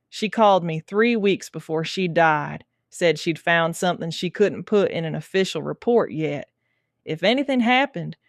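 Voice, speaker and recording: A woman acts as a tough lawwoman in a sci-fi audio drama. Her voice sits fairly low and very dry, and she talks bluntly, with a wry, questioning edge. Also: no echo, lightly acted. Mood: quietly vulnerable. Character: tough, blunt female Texas Ranger with hidden vulnerability.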